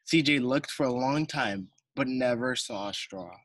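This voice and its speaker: A man's voice: mid-range voice, a little slow, fairly bright tone, a little hiss or hum. A teenage boy from Colombia whose first language is Spanish, reading a picture book aloud to children with many hesitant ums. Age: teens. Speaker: student reader.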